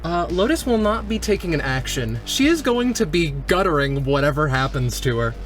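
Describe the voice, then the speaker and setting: Male tabletop player, slightly high voice, unhurried and descriptive, music underneath. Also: very animated, a bit bright. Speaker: roleplaying game player. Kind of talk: tabletop play, narrating own character's actions. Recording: music playing behind. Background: background music.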